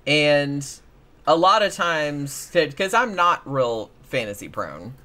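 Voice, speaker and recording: A man's voice: a little high, very animated, slow, bright, forceful tone. A man chatting casually and irreverently as a show co-host, with slangy, profane phrasing. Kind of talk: casual chat recapping paranormal stories.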